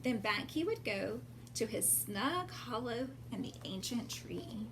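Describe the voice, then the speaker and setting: A woman reading a storybook aloud expressively to young children, pausing to explain things simply. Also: on the high side, very animated. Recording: clear background noise.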